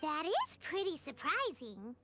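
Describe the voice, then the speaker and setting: Tiny squeaky, very high childlike companion. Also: female voice, wildly swooping pitch, measured pace, exaggerated voice. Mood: reluctant. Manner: speaks of self in third person. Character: tiny childlike floating companion, cheerful, whiny, food-loving. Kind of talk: video game voice acting. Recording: loud music under the voice. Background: game music.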